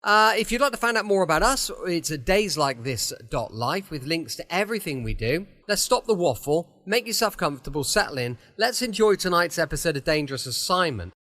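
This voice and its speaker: A man's voice: a little high, very animated, brisk, few pauses, bright, forceful tone. A man hosting an old-time radio podcast from his home, chatting in a friendly, rambling way before the episode. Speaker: podcast host. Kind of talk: casual chatty podcast introduction.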